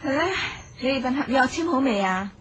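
An actress playing a warm, teasing female friend in a radio drama, lively in manner. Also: sits high, a little fast, dull audio, background noise.

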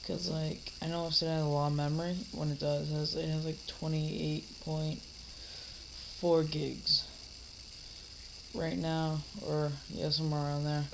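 A man's voice: a little high, completely flat delivery, measured pace, creaky, background noise. A teenage boy coming down with a cold, rambling casually through a home vlog with lots of fillers and restarts. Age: teens. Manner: mock-scolds an imaginary heckler. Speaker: vlogger. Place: dim living room, seated in a recliner.

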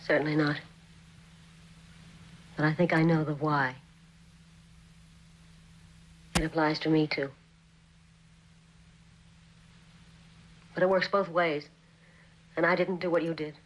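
An actress playing a wounded, proud wife with measured, grave restraint in a 1960s television drama. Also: female voice, sits low, flat delivery, dull audio. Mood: wounded, cool.

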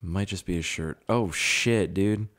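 A young man with a hoarse, strained voice giving casual, profane, self-mocking commentary while playing a game. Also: low-pitched, measured pace, fairly bright tone. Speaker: gaming YouTuber. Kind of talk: casual let's-play commentary.

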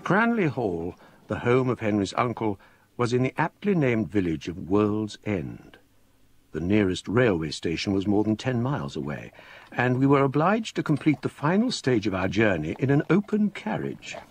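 An actor playing a scholarly English gentleman recounting a ghostly memoir in measured, formal, literary phrases for radio drama. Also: fairly low pitch, sing-song, slightly rough. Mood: brooding.

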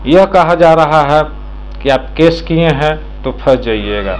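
A man comments to viewers as a local news presenter. His voice sits a little high, picked up on a lapel mic in a small room. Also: animated, a little slow, slight background noise, poor audio.

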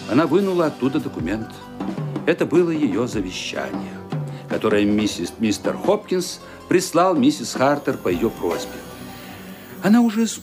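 A man narrating a radio play in a dramatic, suspenseful reading style. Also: medium pitch. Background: music underneath.

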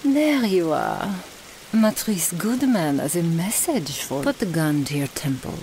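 Female vampire mistress played by a woman, elegant and menacing, unhurried theatrical delivery, hiss and rain behind. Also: lowish voice, big pitch swings, darkish tone, rough recording. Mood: mocking, menacing. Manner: slow, theatrical, sprinkles French phrases. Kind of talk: audio roleplay drama acting.

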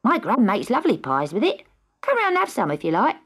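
A woman's voice: on the high side, wildly swooping pitch, brisk, husky, voice pushed up, full character voice. A woman voicing a cheeky, friendly village boy in a storybook, with an English accent. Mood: cheerful.

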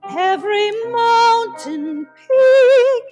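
High-voiced woman singing church psalms. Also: expressive, very slow, a little mellow. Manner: sings while playing a grand piano. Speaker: music minister. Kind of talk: sung liturgical psalm and hymn. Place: large church. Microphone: mic on the piano. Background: her own piano accompaniment.

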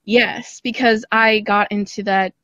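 A woman's voice: mid-range voice, fairly even intonation, unhurried, fairly bright tone. A woman chatting casually and informally as a podcast guest over a remote call. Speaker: podcast guest, audio drama creator. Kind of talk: casual interview chat. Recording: slightly dull lo-fi call audio.

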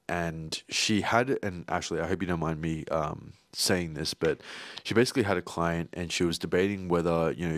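Young male vlogger, low voice, dry close sound, loose rambling delivery full of ums. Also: no room sound. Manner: rambling, many fillers and restarts. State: hay fever. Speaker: vlogger and videographer. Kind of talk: casual vlog talk.

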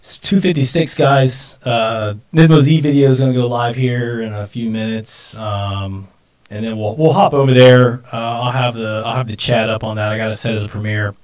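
A man in his forties co-hosts a live stream in loose, casual conversation. His voice is medium-pitched and sits a little back from the desk microphone. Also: fairly even intonation, moderate pace, a little mellow, recorded from a distance.

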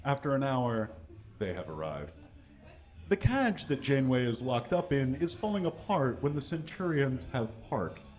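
A man giving a live comedic reading of fan fiction on a small stage, mostly dramatic with deadpan asides, reading punctuation aloud. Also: medium pitch, moderate pace. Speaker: comedic reader. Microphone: stand mic. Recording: dull, lo-fi live recording.

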